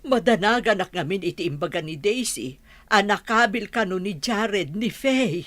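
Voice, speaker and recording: A woman's voice: medium pitch, lively intonation, normal speed, fairly bright tone. A woman playing an anxious village madam fretting over her daughter in an Ilocano radio drama.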